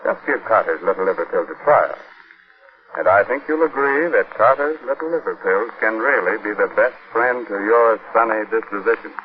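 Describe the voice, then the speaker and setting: A man hosting and announcing a 1940s radio show in a theatrical, measured, sly manner. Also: fairly low pitch, expressive. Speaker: radio host and sponsor announcer. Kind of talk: 1940s radio scripted narration. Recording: tinny, band-limited 1940s radio recording.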